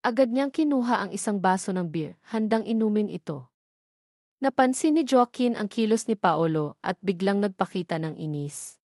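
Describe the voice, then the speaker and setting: A woman with a Filipino accent narrates a scripted romance audio drama. Her voice sits at a medium pitch. The recording is close and dry, with little room sound. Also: expressive, moderate pace, darkish tone, dry recording. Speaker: story narrator.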